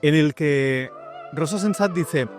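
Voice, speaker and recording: A man from narrating a short documentary about his book, speaking in a measured, informative way. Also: on the high side, faint music behind. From Spain. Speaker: author presenting his book. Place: outdoors in city streets.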